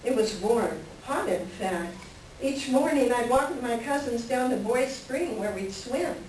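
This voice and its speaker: A woman's voice: on the high side, expressive, a little slow, a little mellow. A woman playing a wistful, melancholy married woman in a staged play reading, with a reflective storytelling manner. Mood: wistful reminiscence. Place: library reading before a microphone at some distance. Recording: very echoey room, distant mic, faint noise.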